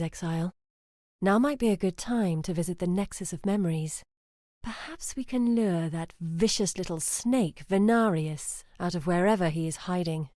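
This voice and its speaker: A woman's voice: fairly low pitch, mellow, no echo, studio quality, lightly acted. An actress playing an earnest, brooding woman scholar in a video game, with a reflective, theatrical delivery. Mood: scheming. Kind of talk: dramatic video game voice acting.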